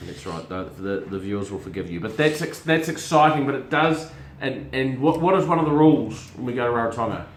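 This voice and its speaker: A man's voice: mid-range voice, animated, brisk, hardly any pauses. A middle-aged man, hosting a casual family chat show about horse racing, chatty and prone to repeating words while he searches for them. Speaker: racing show host and syndicate manager. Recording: roomy echo.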